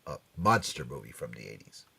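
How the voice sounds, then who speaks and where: A man hosting a casual pop culture talk show, speaking haltingly and often repeating and rephrasing himself. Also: very deep voice, big pitch swings, vocal fry, bright, forceful tone, a little hiss or hum. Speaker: podcast and radio show host.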